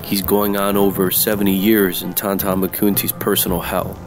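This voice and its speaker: A man playing a world-weary, wisecracking private detective, narrating an old-time noir radio drama in a deadpan way. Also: deep voice, moderate pace, music in the background. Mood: world-weary, sardonic. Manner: deadpan, sardonic.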